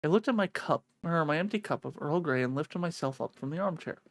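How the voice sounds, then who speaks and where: Game streamer, animated mid-pitched voice, dramatic narration and sweary asides, very dry sound. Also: brisk, no echo. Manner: expressive, excitable, sweary. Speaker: streamer playing and reading a visual novel aloud. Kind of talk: dramatic read-aloud with casual profane reactions.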